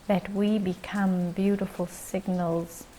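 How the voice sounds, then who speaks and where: Very slow woman guiding a meditation. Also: medium pitch, flat delivery, a little hiss or hum, rough recording. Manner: slow, gentle phrases with long pauses. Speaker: meditation guide. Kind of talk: guided meditation.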